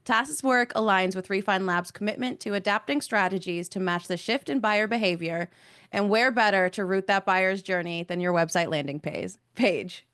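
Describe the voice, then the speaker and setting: A woman's voice: medium pitch, moderate pace, a bit bright. Upbeat woman co-hosting a podcast. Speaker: podcast co-host. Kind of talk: scripted show intro, then interview.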